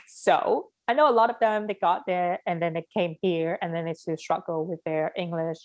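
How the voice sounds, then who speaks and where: A young woman with a Vietnamese accent and a fairly low voice talks in a casual conversation. She is frank and animated, and she states her opinions firmly. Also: moderate pace. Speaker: interviewee.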